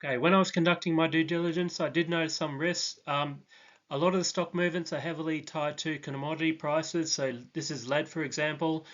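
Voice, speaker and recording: A man's voice: on the high side, fairly even intonation, a little fast, a bit bright, lo-fi sound. A man hosting his own channel, talking through a stock analysis in a casual, hesitant way with many ums and restarts.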